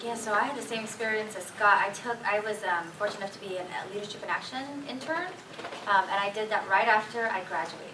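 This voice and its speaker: A woman's voice: medium pitch, quick pace. A woman speaking as a panelist in conversational tones, hedging and saying "like" often. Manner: conversational, hedging, says "right" and "like". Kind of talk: casual panel talk. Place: conference hall. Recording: echoey hall from far off, noisy.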